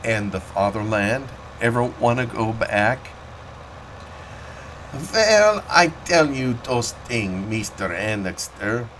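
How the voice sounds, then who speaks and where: A man's voice: mid-range voice, expressive, takes it slowly, a bit bright, a little hiss or hum, rough recording. A man voicing an older German immigrant farmer, with a German accent, proud and talkative, in broken English. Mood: reminiscing.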